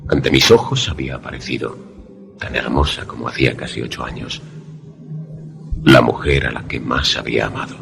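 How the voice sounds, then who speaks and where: Male actor as haunted lovelorn narrator, extremely deep creaky voice, solemn, measured, radio suspense drama, music underneath. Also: fairly bright tone, full character voice. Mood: wistful, haunted. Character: haunted, lovelorn former student, grave narrator.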